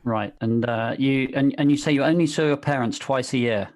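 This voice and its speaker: A man's voice: mid-range voice, a little fast, a little mellow. A middle-aged man hosting an interview, friendly and self-deprecating, with frequent restarts. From United Kingdom. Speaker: interviewer, host. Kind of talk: casual interview over video call.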